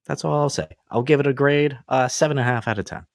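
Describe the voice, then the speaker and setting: A man's voice: mid-range voice, quick pace, vocal fry, no echo. A man hosting a casual comic book review show, rambling and joking as he recaps issues. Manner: laid-back, rambling, joking. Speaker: comic book podcast host.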